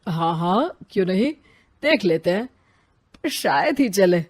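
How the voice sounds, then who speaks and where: A woman's voice: medium pitch, expressive, a little slow, slightly rough. A woman voicing an easygoing elder brother in a cartoon. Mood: casual. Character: elder brother Rohit, easygoing family man.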